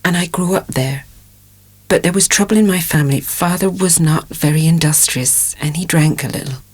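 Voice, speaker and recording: A woman's voice: lowish voice, normal speed, breathy edge. An actress playing an anguished, tender young dairymaid in love in a dramatic audiobook, hesitant and impassioned as she hides a secret. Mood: hesitant, subdued.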